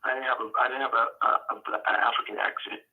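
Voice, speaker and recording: A man's voice: mid-range voice, little pitch movement, racing. A man from New York, an actor being interviewed over the phone, talking casually and rambling with many restarts. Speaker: actor guest. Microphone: phone call. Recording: phone line.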